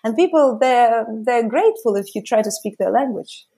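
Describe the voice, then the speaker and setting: A woman's voice: a little high, moderate pace, slightly rough. A woman with a Russian accent, a podcast guest telling stories in casual conversation, with many fillers as she talks. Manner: lively storytelling with frequent fillers.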